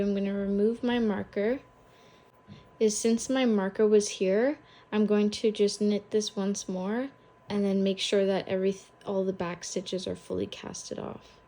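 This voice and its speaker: A woman's voice: mid-range voice, warm tone. A young woman with a cold, chatting casually through a knitting tutorial and thinking aloud as she counts stitches. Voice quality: slightly congested from a cold. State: has a cold. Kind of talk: casual step-by-step craft tutorial.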